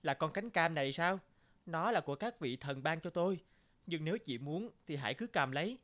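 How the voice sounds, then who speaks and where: A man's voice: fairly high pitch, animated, brisk, fairly bright tone. A man playing a poor, humble young woodcutter in a cartoon folktale, earnest and polite. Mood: polite, surprised.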